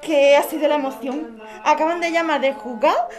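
A woman acting an impulsive, gushing activist with a flirty streak in a radio play. Her voice is high and has a Spanish accent. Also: moderate pace, darkish tone, faint music behind, close to an everyday voice. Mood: elated, apologetic. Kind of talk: Spanish audio drama acting.

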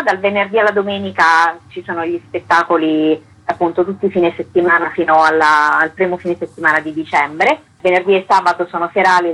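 Low, husky female interview guest. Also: sharp tone, poor audio. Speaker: artistic director, interview guest. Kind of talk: conversational radio interview.

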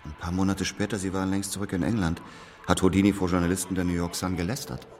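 An actor playing a sceptical, probing medium in a radio drama, dry, challenging and sardonic. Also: male voice, sits low, little pitch movement, fast, darkish tone, big-room sound, a little hiss or hum, natural voice. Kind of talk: German radio drama acting.

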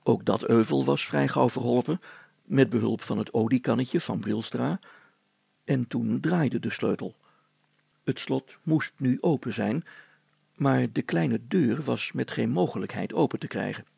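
A man reading a story aloud as scripted narration, measured and articulate. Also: mid-range voice, vocal fry, mellow, slightly rough, dry recording.

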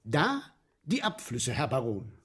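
An actor playing an eager, obliging guide to underground sewers in a German radio play, speaking in a declamatory, showy manner. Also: male voice, medium pitch, huge pitch swings, measured pace, a bit bright, breathy edge, exaggerated voice. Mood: boastful.

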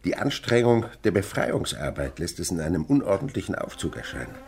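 An actor playing an elderly French insect researcher in a German radio play, lecturing emphatically and commanding those around him. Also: male voice, sits low, fairly quick, vocal fry, a little hiss or hum, heavily acted. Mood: excited wonder. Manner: emphatic, animated, instructive. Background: buzzing insects.

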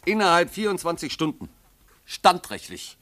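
An actor playing a scrupulous, anxious army lieutenant in a radio play, with an earnest, uneasy manner and correct military diction. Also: male voice, a little high, very animated, moderate pace, sharp tone. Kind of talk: German radio play acting.

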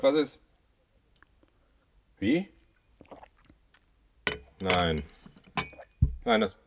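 A male doctor on a phone call in a radio drama. His voice is fairly low and he speaks extremely slowly, with long gaps. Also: full character voice. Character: man, doctor taking an alarming phone call. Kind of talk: German radio play acting.

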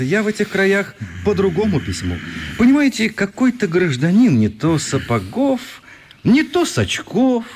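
An actor playing a good-natured journalist in a radio play, conversational, wry and mock-polite. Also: male voice, high voice, darkish tone, exaggerated voice.

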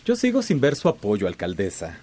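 An actor playing an imperious, scornful company boss in a radio drama. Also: male voice, fairly low pitch, huge pitch swings, a little fast, warm tone, slight background noise. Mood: threatening. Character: domineering company boss, contemptuous and threatening.

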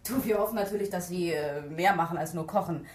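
An actress playing a calm, placating police officer in a naturalistic radio drama, patient and matter-of-fact. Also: female voice, fairly low pitch, fast, reverberant, lightly acted.

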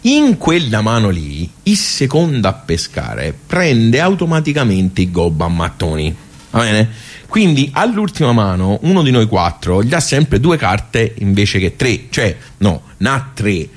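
A man's voice: on the low side, sing-song, a little slow. A man playing a wry, nostalgic regular of a workers' club in a radio monologue, colloquial and rambling, with a Tuscan accent. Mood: chatty, confiding. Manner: rambling, colloquial, self-interrupting. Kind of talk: radio theatre monologue, conversational.

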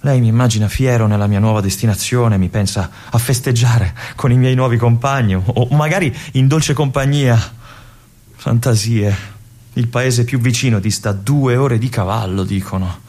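An actor playing a restless young army lieutenant in a radio monologue, confiding and brooding in halting asides. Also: male voice, medium pitch, a little mellow, lightly acted. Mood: uneasy. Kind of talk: theatrical monologue.

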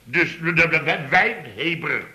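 A man's voice: fairly high pitch, animated, unhurried, pushed and bright, exaggerated voice. A man playing a pompous, blustering middle-aged boss in a Dutch radio comedy serial, stammering and repeating himself. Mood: pompous, enthusing. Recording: slightly noisy vintage radio recording.

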